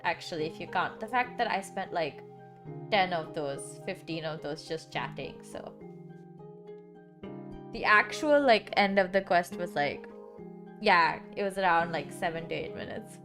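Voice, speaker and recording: A woman's voice: fairly low pitch, expressive, unhurried, a bit bright. A young woman streamer with a dry, sick-sounding throat, chatting casually and rambling with lots of filler words. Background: background music.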